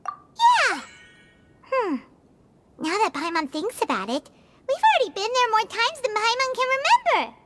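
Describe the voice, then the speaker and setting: A woman voicing a tiny, chirpy floating fairy companion in a video game, high and squeaky, referring to herself by name. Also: very animated, unhurried, long pauses, background noise, exaggerated voice. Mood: excited.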